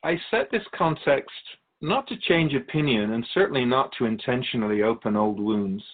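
A man plays an otherworldly keeper of souls in a radio drama. He is calm and earnest, explaining things formally and patiently in measured phrases, like a teacher. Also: medium pitch, narrow, tinny audio, close to an everyday voice. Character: calm otherworldly male soul keeper, earnest storytelling spirit. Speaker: interviewee.

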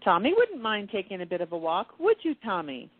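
Woman acting a gentle angel, very expressive intonation. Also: medium pitch, a little fast, dry recording. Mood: polite. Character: gentle, knowing female angel, serene and reassuring. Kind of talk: amateur radio play acting. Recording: dull muffled audio with clear background noise.

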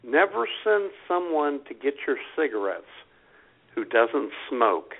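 Animated, gravelly male co-host. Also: mid-range voice, measured pace. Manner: acts out customer exchanges as he talks. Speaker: talk show co-host. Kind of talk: casual comic storytelling. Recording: thin phone-like lo-fi sound.

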